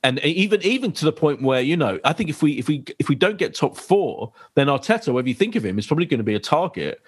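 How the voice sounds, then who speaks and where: Man, podcast host, lively intonation, chatty. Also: fairly high pitch, fast, husky. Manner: chatty, laughing, leading the talk. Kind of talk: casual football chat.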